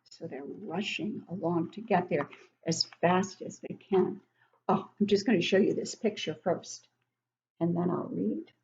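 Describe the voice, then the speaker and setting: A woman reading a storybook aloud to young children as a teacher, expressive, with encouraging conversational asides and questions to her listeners. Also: on the low side, measured pace, vocal fry. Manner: expressive, emphatic when reading. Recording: dull, lo-fi sound.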